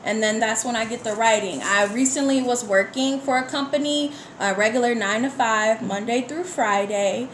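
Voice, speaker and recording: A woman from the United States speaks as an interview guest in a fairly high voice. Her delivery is candid and conversational, like casual personal storytelling. The recording is roomy and echoey, with some light noise behind her. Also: normal speed, hardly any pauses. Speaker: guest poet. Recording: echoey room with background noise.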